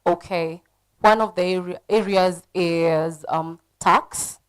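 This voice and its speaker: Earnest Kenyan woman panelist, lowish voice. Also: fairly even intonation, slow, smooth. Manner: direct, advising, earnest. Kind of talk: conference panel talk.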